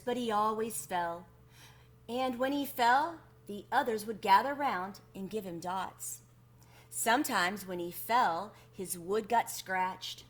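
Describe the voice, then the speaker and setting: A woman reading a children's picture book aloud as a storyteller, expressive and deliberate with drawn-out emphasis. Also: medium pitch.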